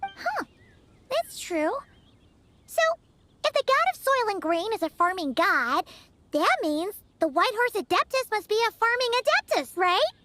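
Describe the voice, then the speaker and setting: A woman voicing a tiny, excitable, childlike girl in a video game, naive and cheeky. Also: a little slow, slight background noise, full character voice. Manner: squeaky, childish, hesitant then blurting. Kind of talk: cartoonish game voice acting.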